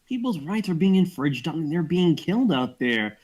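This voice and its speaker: A man's voice: a little high, very animated, moderate pace, a little mellow. A man playing a scornful cafe patron mocking a friend in a screenplay table read.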